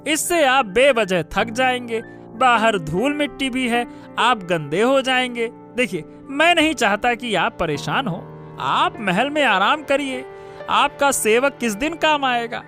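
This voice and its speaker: A man's voice: very high voice, animated, measured pace, pushed and bright, faint music behind, exaggerated voice. A man voicing a fawning, scheming royal minister in a cartoon story, stammering and wheedling as he talks. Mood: flustered, fawning. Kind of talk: Hindi cartoon story voice acting.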